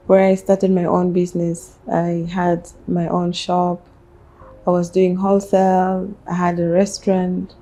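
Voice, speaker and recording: Even, measured South Sudanese woman, lower-pitched. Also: creaky, rough recording. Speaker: interview guest, businesswoman. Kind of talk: interview, personal life story.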